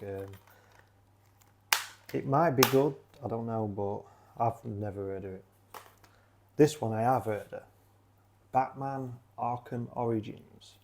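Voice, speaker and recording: A man's voice: lowish voice, dark tone. A man with a South Yorkshire accent chatting casually in a rambling way, full of fillers and restarts. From Sheffield, South Yorkshire. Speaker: channel creator.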